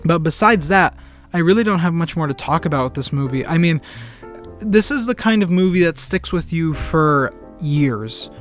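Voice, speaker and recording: A man's voice: a little high, animated, moderate pace, soft background music. A young man giving a casual film review. He talks earnestly and enthusiastically and often repeats words and restarts his sentences.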